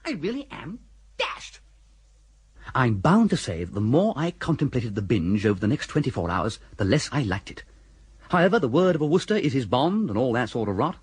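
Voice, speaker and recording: An amiable young upper-class Englishman for radio comedy. His voice is very raspy and leaps all over its range. He stammers and dithers politely in dated posh slang. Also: medium pitch. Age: young adult. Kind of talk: radio comedy drama acting and narration.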